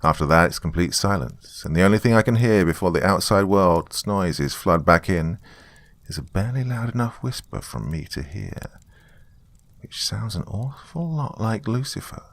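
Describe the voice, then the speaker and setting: A man's voice: sits low, animated, moderate pace, no echo. A man who narrates horror stories and hosts, speaking as a storyteller. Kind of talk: dramatic first-person story narration and casual sign-off.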